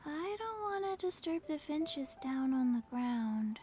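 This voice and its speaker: Little zombie girl, game character, high childlike voice, soft, slow halting phrases, sleepy, flat. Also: slight background noise. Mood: subdued, earnest. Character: small, slow, forgetful undead zombie girl. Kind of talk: video game voice acting.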